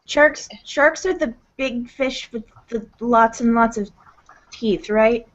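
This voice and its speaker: High-voiced, questioning woman, lo-fi audio. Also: takes it slowly. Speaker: roleplaying game player. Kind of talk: in-character roleplay chat. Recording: dull lo-fi recording.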